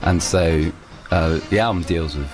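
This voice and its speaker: A man's voice: deep voice, a little slow, over music. A young man, a musician, answering interview questions casually, rambling with many restarts and fillers. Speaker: musician guest.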